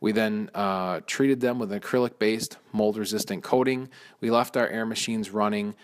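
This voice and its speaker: Low-voiced contractor explaining plainly. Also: male voice, steady tone, normal speed. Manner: explanatory, frequent fillers and restarts. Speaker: mold removal contractor. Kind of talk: informal walkthrough narration.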